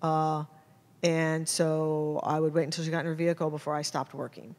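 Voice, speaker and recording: A middle-aged American woman answering audience questions as a guest speaker, casual and halting, often restarting her sentences. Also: deep voice, little pitch movement, darkish tone. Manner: halting, self-interrupting, informal. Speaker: guest photojournalist. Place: indoor hall at a public talk. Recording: amplified in a reverberant hall.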